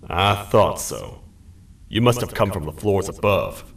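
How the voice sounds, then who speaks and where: An actor playing a massive, gruff toad sheriff who talks like a stern frontier lawman. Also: low voice, big pitch swings, measured pace, exaggerated voice. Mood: interrogating. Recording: echoey game audio from a distance, light music.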